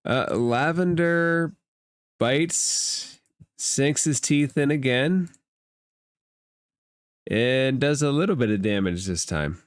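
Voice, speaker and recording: A man's voice: mid-range voice, animated, takes it slowly, long pauses, no echo, clean studio sound. A man acting as game master in a tabletop role-playing game, giving rulings in a matter-of-fact way.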